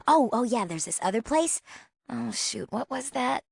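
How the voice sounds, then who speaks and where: A woman's voice: fairly high pitch, expressive, moderate pace, gravelly, breathy edge, dry recording, exaggerated voice. A young woman with an American accent playing a bored, sassy small-town girl in a video game, casual and sardonic. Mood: friendly, restless. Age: young adult. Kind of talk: video game character acting.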